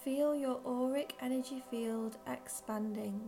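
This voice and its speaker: A woman leading a guided visualisation in scripted narration, with measured, gentle phrasing and long pauses. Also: sits high, steady tone. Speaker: guided meditation leader.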